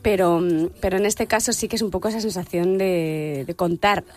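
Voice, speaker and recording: Candid woman singer on radio. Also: lowish voice. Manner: candid, reflective, quick to laugh. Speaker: singer guest. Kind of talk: radio interview chat. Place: radio studio.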